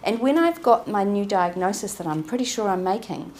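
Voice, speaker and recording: A woman GP chairing a panel discussion, measured as she introduces the speakers and poses questions. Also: mid-range voice, lively intonation, darkish tone, slight background noise. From New Zealand. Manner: measured, addresses speakers and audience. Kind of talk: panel moderation. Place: conference room.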